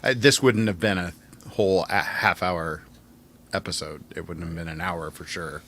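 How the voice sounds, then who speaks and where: A man's voice: low-pitched, fairly even intonation, normal speed, fairly bright tone, slight background noise. A man co-hosting a podcast, casually puzzling things out in a questioning way. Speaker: podcast co-host. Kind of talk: casual discussion and review.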